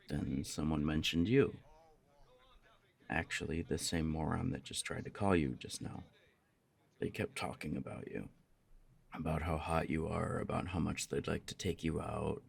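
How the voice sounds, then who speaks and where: A very low, gravelly voice for a young punk roommate , acted by a man, jealous and easily flustered. The delivery is intimate roleplay audio, halting and confessional, with a hot temper under it and plenty of cursing. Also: heavily acted. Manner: confessional, halting, swearing, emotionally worked up. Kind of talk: ASMR roleplay audio drama, one side of a dialogue.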